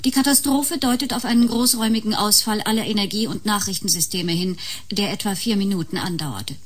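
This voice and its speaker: A woman playing a sober newsreader in a sci-fi radio drama, formal, factual and husky. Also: mid-range voice, brisk, close to an everyday voice. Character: sober female newsreader of an emergency bulletin. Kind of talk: scripted news reading in a radio drama.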